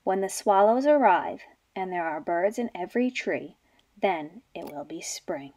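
A woman's voice: lowish voice, animated, unhurried, darkish tone, no room sound, voice pushed down. Lady doing sleepy mama bear from a storybook, mumbly and patient.

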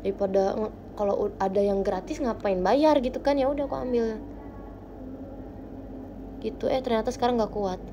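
A young woman hosting a casual livestream from her bedroom, chatting with viewers into a phone held close. She talks playfully, with quiet murmurs that jump into bright, lively bursts. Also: fairly high pitch, moderate pace, pauses often, over music. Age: young adult. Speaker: idol livestream host. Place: small bright bedroom indoors. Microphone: phone camera close to face.

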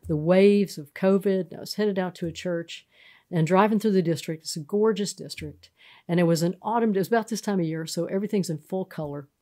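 Middle-aged Texan woman, pastor, lowish voice, loose chatty storyteller. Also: a little fast. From Texas. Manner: warm storytelling, frequent fillers and restarts. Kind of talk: casual podcast interview.

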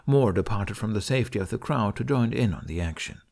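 A man narrates an audiobook story in a low voice. His intonation moves around a great deal as he reads the scripted prose. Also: expressive, brisk, creaky, a little mellow.

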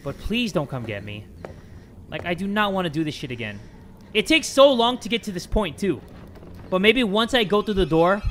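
A young man in a home room on a headset, hosting a horror game playthrough, swinging from loud yelling to hushed talk. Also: high-pitched, expressive, moderate pace, a little hiss or hum. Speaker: gaming video host. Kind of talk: energetic horror game commentary.

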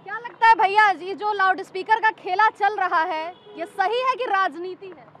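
Young woman, very high pitch, street reporting, light outdoor noise. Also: normal speed, a bit bright. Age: young adult. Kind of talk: street interview and piece to camera. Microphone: handheld reporter's microphone.

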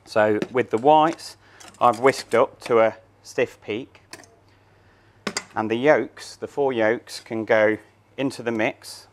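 A man's voice: medium pitch, fairly even intonation, slow pace, slight background noise. A man in his thirties, a chef, talking through a cooking demonstration step by step in a large showroom kitchen. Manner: plain, step-by-step explaining while working.

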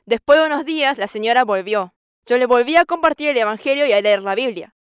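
High-pitched woman giving church testimony. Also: lively intonation, quick pace, clean tone, no room sound, studio quality. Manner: earnest, quotes scripture at length and acts out dialogue with a raised voice. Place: TV studio stage. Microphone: lapel mic.

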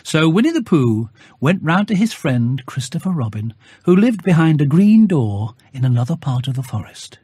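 Male children's audiobook narrator: measured, expressive, husky, fairly high voice. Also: dry recording. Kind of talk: scripted children's story narration.

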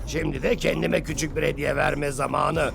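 A scheming chimp supervillain, gloats in a high, deeply gravelly voice. Cartoon music plays behind him in a studio dub. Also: male voice, little pitch movement, moderate pace. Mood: wheedling.

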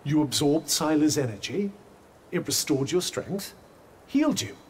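Enchanted companion from a video game, fairly high male pitch, animated intonation, arch, formal, dry-witted. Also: a little slow, slight background noise. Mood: dry, teasing. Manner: arch, formal, drily teasing. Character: urbane, dry-witted talking magical cuff, male companion.

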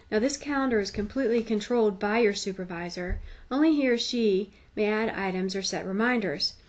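A woman giving a conversational, explanatory tutorial voice-over in a plain-spoken, instructive manner. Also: medium pitch. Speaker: tutorial presenter.

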